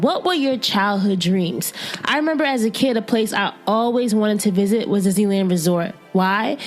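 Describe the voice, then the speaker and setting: A young adult woman hosting a podcast, chatting easily with her guest and also reading an ad. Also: medium pitch. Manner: conversational, encouraging interviewer. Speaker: podcast host. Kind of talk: casual interview chat and ad read.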